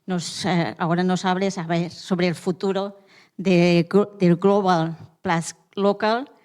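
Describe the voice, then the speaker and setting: A woman hosting an event, giving formal introductory remarks in a halting way as she searches for words. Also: fairly low pitch, fairly even intonation, darkish tone. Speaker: event host introducing a guest.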